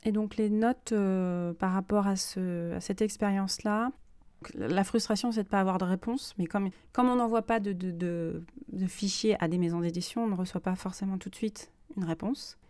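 A woman's voice: medium pitch, steady tone, moderate pace, a little mellow, no echo, studio quality. A woman hosting a personal podcast, speaking unscripted and reflectively, often hesitating as she searches for her words. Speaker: podcast host and self-published author.

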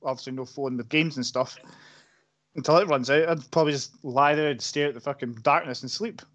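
A relaxed, hesitant man chatting on a podcast, dropping in swears. His voice sits a touch high for a man and comes through slightly dull and lo-fi. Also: brisk. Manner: laid-back, hesitant, swears. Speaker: podcast co-host. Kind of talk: casual chat.

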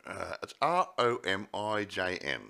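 A man co-hosting a casual film review chat, jokey and full of fillers, his voice a little croaky. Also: deep voice, big pitch swings, measured pace, bright, forceful tone. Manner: chatty, jokey, lots of yeah fillers. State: slightly croaky voice. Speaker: podcast co-host.

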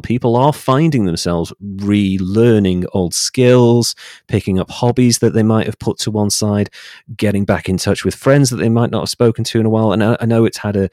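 A British man speaking in a measured, articulate way as a guest in a reflective interview conversation. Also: fairly low pitch, a little mellow, no echo, clean studio sound. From United Kingdom. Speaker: podcast guest, writer and voice-over artist.

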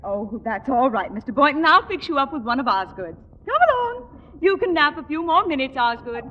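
Middle-aged matchmaking wife, sugary, bossy, very animated, old radio sitcom, slightly noisy recording. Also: female voice, high-pitched, a little fast, highs cut off. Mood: brisk, coaxing. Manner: gushing, fluttery, sugary. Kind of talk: 1950s radio comedy acting.

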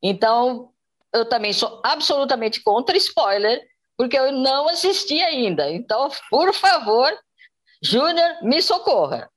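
A woman's voice: high-pitched, sing-song, measured pace. A woman with a Brazilian accent giving her opinion in casual chat, as a cast member on a show. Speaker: actress on the show.

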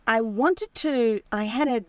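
A woman's voice: on the high side, a little slow, clear, resonant tone, dry recording. A woman in her sixties from Zimbabwe, telling her story as a podcast guest in a chatty, self-deprecating way over a remote call from her campervan. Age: 60s. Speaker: guest, author and van traveller. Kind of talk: casual storytelling interview.

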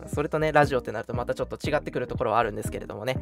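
A man's voice: medium pitch, creaky. A young man chatting casually as a radio host, reacting readily to his co-host. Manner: animated, reactive, quick repeated backchannels. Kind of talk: casual chat. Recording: music playing behind the voice. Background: music.

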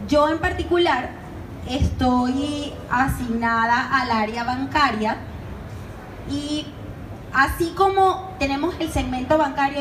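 A Venezuelan woman with a high voice speaks to a room of students. She talks in a measured way and checks in with them as she goes. Her voice echoes around a lecture hall over a steady noise. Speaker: guest speaker at a university. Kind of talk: presentation to students.

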